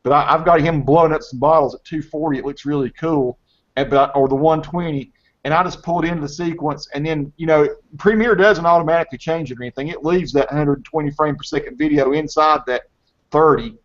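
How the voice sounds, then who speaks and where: A Southern US man in his fifties hosts a casual video call chat, his voice sitting a little high. He talks in a chatty way and repeats words as he restarts. The call audio is dull and lo-fi. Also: a little fast. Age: 50s. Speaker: host.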